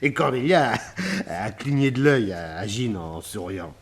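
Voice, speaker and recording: A man's voice: fairly low pitch, animated, unhurried, slightly rough, heavily acted. An actor playing a nervous, sheepish man in a detective radio drama, stammering and repeating his words as he hesitates. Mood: giggly, sheepish.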